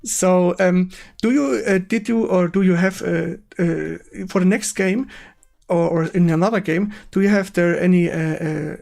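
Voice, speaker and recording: Creaky-voiced German man hosting a stream. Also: high voice, a little mellow. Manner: halting, searching for words in English. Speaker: stream host interviewing a guest. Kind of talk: casual live-stream interview.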